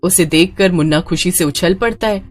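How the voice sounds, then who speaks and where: A woman's voice: lowish voice, moderate pace, faint music behind. A woman storyteller giving dramatic scripted narration of a horror tale.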